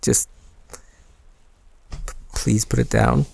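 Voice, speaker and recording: A man's voice: mid-range voice, fairly even intonation, takes it slowly, creaky, a little mellow, slight background noise. A man playing a shy, smitten young man in an intimate boyfriend roleplay audio, speaking hesitantly with bashful stammers. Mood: flustered, playful.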